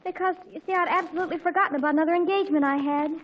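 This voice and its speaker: A high-voiced, rapid teenage daughter from a 1940s radio comedy. She is dramatic and earnest, easily flustered, and tries hard to sound grown-up. The old recording is muffled. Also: steady tone, a little hiss or hum. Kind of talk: 1940s radio sitcom acting.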